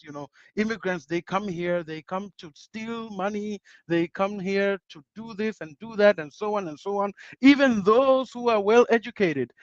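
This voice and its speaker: A man's voice: sits high, no echo. A man from Uganda, a guest on a panel discussion, talking earnestly, restarting phrases and repeating himself for emphasis. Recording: dull lo-fi dry sound.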